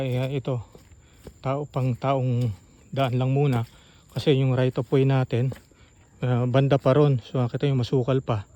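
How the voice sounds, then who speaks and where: Filipino guy vlogging outside, relaxed dark-ish voice, halting casual talk, bit of background noise. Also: mid-range voice. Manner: informal, halting, thinking aloud. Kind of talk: casual outdoor walk-through narration. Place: outdoors on a rural farm trail. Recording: outdoor ambient noise.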